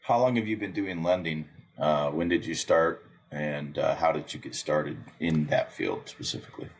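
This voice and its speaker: Very deep, husky male co-host. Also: moderate pace. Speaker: podcast co-host. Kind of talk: casual interview questioning.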